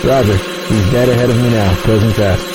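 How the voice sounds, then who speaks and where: Man acting as low-voiced fighter pilot, engine noise. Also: fairly even intonation, fairly quick, lightly acted. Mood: focused. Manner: terse radio procedure. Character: conscientious, wary male military fighter pilot. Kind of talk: modern audio drama acting. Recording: radio chatter over engine noise.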